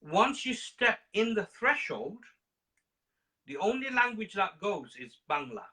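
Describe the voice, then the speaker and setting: A man in his fifties or older, speaking in halting, measured phrases in a casual discussion. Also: high-pitched, very animated, bright, forceful tone. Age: 50s or older.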